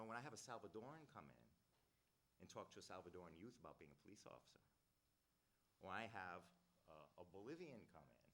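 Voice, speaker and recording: A man's voice: low-pitched, fairly bright tone, slight background noise. A man from Rhode Island giving rambling, earnest roundtable remarks as a youth program director, often restarting his sentences. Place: government roundtable room.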